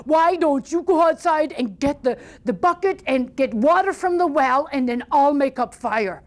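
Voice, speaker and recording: A woman's voice: high-pitched, animated, moderate pace, voice pushed up. A woman voicing a huge, dim-witted, hungry male troll in a storybook tale, exclaiming and gullible. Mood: eager, hungry.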